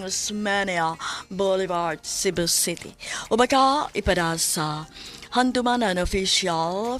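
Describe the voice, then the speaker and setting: A woman's voice: mid-range voice, a bit bright. A woman hosting a radio drama, introducing the story and giving the mailing address in a formal announcer's manner.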